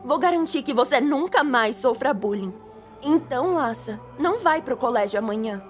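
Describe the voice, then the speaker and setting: A woman's voice: sits high, animated, normal speed. A Brazilian woman voicing a cheerful, self-righteous schoolgirl with an earnest, menacing streak in an anime-style fan dub. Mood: determined. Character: cheerful, self-righteous schoolgirl turned justice devil contractor. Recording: music behind the voice.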